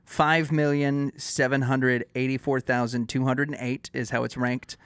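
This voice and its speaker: A man's voice: medium pitch, little pitch movement, a little slow, a bit bright, clean tone. A man co-hosting a podcast with casual comedic banter in a teasing, mock-earnest manner. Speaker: podcast co-host.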